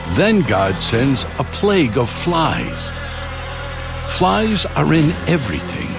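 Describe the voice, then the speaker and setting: Slow, animated male storyteller. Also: fairly high pitch, vocal fry. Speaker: narrator. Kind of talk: scripted storytelling narration for children. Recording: loud music behind the voice. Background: music.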